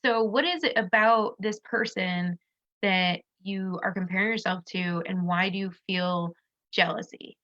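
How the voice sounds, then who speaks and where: A woman's voice: medium pitch, a little slow, fairly bright tone, highs cut off. A woman giving casual business advice on a live stream, stuffed up and losing her voice, chatty and full of restarts. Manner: chatty, loose, with many restarts and fillers. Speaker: business coach. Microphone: streaming on a social video platform.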